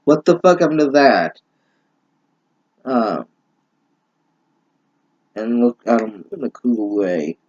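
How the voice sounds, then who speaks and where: A man doing a comedic story reading with halting, sarcastic, exasperated commentary and plenty of swearing. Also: mid-range voice, sing-song, a little mellow. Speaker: host reading and mocking fan fiction. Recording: dull lo-fi home recording.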